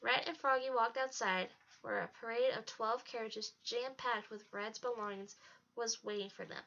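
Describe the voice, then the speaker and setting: A woman's voice: a little high, steady tone, normal speed, creaky, fairly bright tone. A woman narrating a storybook as a read-aloud. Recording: dull lo-fi home recording.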